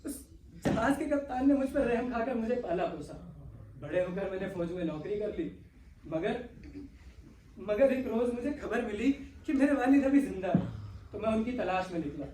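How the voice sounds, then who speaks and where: A man's voice: sits high, lively intonation, normal speed. A young man playing an earnest, lovestruck servant, deferential yet defiant, projecting emphatically in a stage comedy. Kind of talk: theatrical Hindustani stage comedy acting. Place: indoor stage, recorded from the audience. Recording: echoey hall, far-off mic, noisy.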